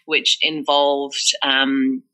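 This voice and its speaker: A woman's voice: extremely low pitch, little pitch movement, very slow, sharp tone, low-quality recording. A woman in her forties from northeast England with a Northeast English accent, a guest talking candidly in a casual interview, full of fillers and restarts.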